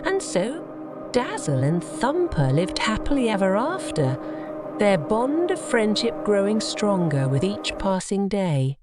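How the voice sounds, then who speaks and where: A woman's voice: medium pitch, very animated, unhurried. A woman narrating scripted bedtime stories for children as a storyteller. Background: music.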